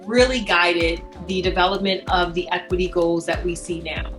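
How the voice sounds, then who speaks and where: A woman working as a school administrator, speaking in a measured, professional way in an interview. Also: lowish voice, steady tone, a bit bright, faint music behind.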